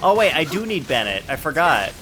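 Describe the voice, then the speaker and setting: A man's voice: a little high, sing-song, a little fast, sharp tone, soft background music. A man livestreaming a video game, chatting casually and rambling as he thinks aloud. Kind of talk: casual live gaming chat and commentary.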